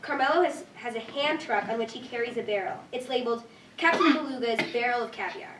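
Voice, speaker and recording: Higher-voiced woman narrating in an echoey room. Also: fast, fairly bright tone. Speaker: narrator reading stage directions. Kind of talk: scripted narration at a staged reading. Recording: echoey room, distant mic, faint noise.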